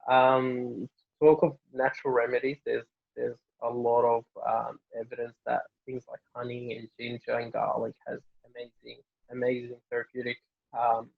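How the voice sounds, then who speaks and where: Gravelly-voiced man, dull lo-fi audio. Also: medium pitch, moderate pace. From Norway. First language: Norwegian. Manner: relaxed, many fillers and restarts. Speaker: interview guest, family doctor. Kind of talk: casual interview answers.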